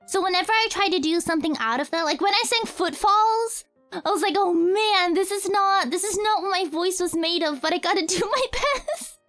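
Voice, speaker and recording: A woman's voice: very high voice, moderate pace, fairly bright tone, soft background music. A young woman streamer and cover singer chatting casually in a livestream Q&A, rambling, correcting herself and giggling often.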